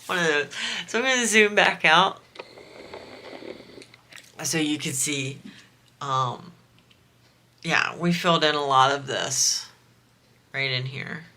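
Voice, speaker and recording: A woman's voice: low-pitched, takes it slowly, pauses often, bright, forceful tone, a little hiss or hum. A woman from the US East Coast with a nasal voice, chatting in a rambling way while she works on a craft project for her vlog. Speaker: crafting vlogger. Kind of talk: casual chat while diamond painting.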